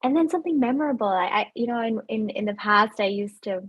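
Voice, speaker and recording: A woman's voice: medium pitch, animated, fast, breathy edge, dull audio. A woman with a Jamaican accent, a physician in her thirties, giving chatty, upbeat advice straight to camera with many fillers and restarts.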